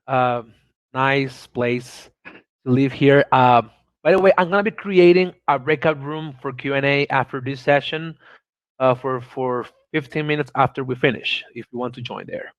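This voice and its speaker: A man's voice: mid-range voice, moderate pace, dry recording, lo-fi sound. A man in his thirties from Venezuela with a Venezuelan Spanish accent, giving an online conference talk in an eager way, often repeating words and restarting. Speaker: conference speaker. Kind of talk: online presentation.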